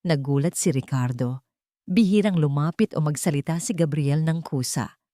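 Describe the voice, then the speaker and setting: A woman's voice: sits low, normal speed, warm tone, no room sound, studio quality. A woman narrating a dramatic scripted story as a storyteller.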